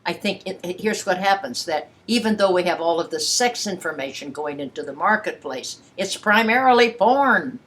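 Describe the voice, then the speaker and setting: A woman's voice: fairly low pitch, expressive, moderate pace, few pauses, fairly bright tone. A woman in her eighties talking casually and frankly, with a blunt, playful, emphatic way of putting things. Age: 80s. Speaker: sex educator giving private sessions.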